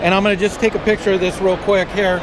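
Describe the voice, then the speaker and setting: Man, high voice, casual interviewer, joking, noisy trade show hall. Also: flat delivery, fast talker, over a noisy crowd. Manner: chatty, joking asides. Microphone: action camera microphone. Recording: noisy trade show floor, camera mic. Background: busy show floor noise.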